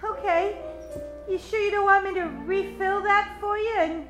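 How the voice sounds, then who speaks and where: Very high-pitched perky secretary. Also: female voice, fairly even intonation, normal speed, reverberant, over music. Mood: coy, flirtatious. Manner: breathless, gushing 1940s gee-whiz speech. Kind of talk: old-time radio detective parody acting.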